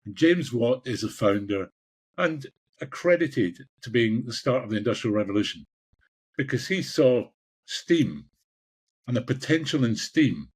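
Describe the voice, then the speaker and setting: A Scottish man in his sixties with a husky voice, answering interview questions in a passionate, emphatic way and repeating phrases for emphasis. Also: medium pitch, sing-song, measured pace. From Scotland. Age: 60s. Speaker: executive coach, podcast guest.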